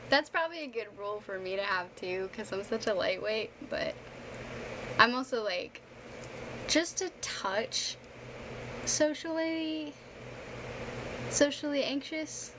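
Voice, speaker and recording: A woman's voice: a little high, expressive, takes it slowly, long pauses. Chatty young woman on a noisy stream. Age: young adult. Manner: chatty, self-deprecating, informal. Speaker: livestreamer. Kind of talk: casual livestream chat. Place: bedroom streaming setup, indoors.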